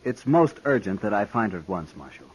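A prim, pompous city gentleman in a 1950s radio western. He speaks in a clipped, haughty way, his husky voice swinging widely in pitch. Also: big pitch swings, brisk. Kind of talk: 1950s radio drama acting. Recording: dull old radio broadcast.

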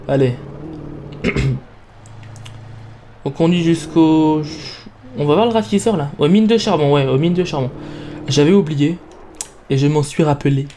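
A young man chats casually over a video game into a close mic. His voice sits a little high but stays soft and mellow, and his manner is playful and self-mocking. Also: slight background noise. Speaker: let's play gamer. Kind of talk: casual gameplay commentary.

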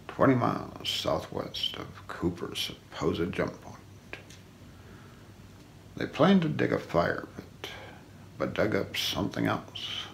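A man in his forties doing an ASMR role play, narrating an unsolved mystery script in a hushed, deliberate way with trailing phrase ends. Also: sits low, gravelly. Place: small indoor room. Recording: faint background noise.

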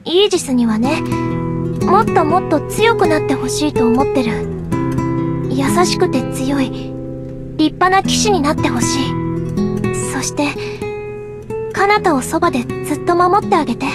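A woman voicing a sweet, earnest young woman in an anime game, gentle and playfully deadpan. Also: sits high, moderate pace, long pauses. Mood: gentle, sincere. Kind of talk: Japanese anime game voice acting. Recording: clear background music.

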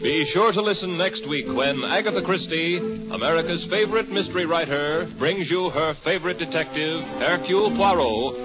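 A man announcing the next week's program in a formal, measured manner. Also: on the high side. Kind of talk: scripted announcement. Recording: dull old radio with loud music.

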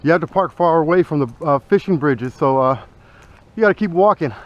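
A young man vlogging his fishing trip outdoors on a bridge, talking excitedly to viewers on an action camera. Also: fairly high pitch, brisk, dull audio. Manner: excitable, talks to viewers as "you guys". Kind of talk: excited casual fishing vlog. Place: outdoors on a roadside bridge over a canal. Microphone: wearable action camera. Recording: loud wind noise outdoors. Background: wind and passing trucks.

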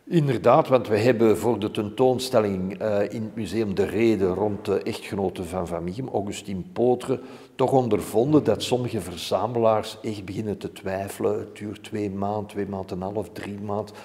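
A man's voice: on the low side, fairly even intonation, normal speed, dark tone. A man in his sixties with a Flemish accent, giving informative interview answers as a curator in a reverberant museum room. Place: indoor museum room hung with framed art. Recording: hollow room with long reverb.

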